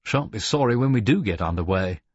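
A man's voice: on the low side, animated, brisk, breathy edge, no echo, muffled recording. A man playing a wry young midshipman who speaks in an understated murmur, in an audiobook. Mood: wry, quiet.

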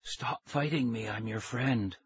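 A man voicing a small, secretive old scientist with a thin, breathy, hushed voice and a measured, earnest way of talking. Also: mid-range voice, dry recording, dull audio. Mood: hushed, insistent.

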